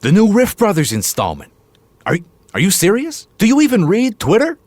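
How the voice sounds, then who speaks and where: An actor playing a brash, pushy talent agent who flatters and cajoles like a salesman in a naturalistic audio drama. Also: high-pitched, normal speed, no echo, exaggerated voice. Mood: excited, teasing. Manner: slick, cocky salesman banter.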